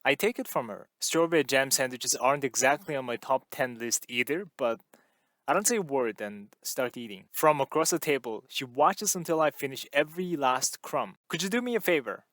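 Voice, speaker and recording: A man reading a novel aloud in calm, even first-person narration. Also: medium pitch, moderate pace, no echo. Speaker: audiobook reader.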